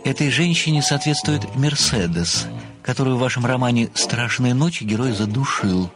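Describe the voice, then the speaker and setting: Actor as a meticulous, deferential amateur sleuth. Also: male voice, medium pitch, animated, moderate pace, over music, natural voice. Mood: deferential, insistent. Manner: formal, deferential, precise, declamatory when enthused. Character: earnest, meticulous pensioner, amateur detective and devoted admirer. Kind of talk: theatrical audio drama dialogue.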